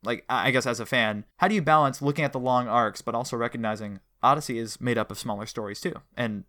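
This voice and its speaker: Guy hosting a casual fan interview, really bright voice, eager and wordy, keeps correcting himself. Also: medium pitch, fast talker. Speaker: host interviewing a guest; audio drama producer and writer. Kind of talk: casual enthusiastic fan conversation.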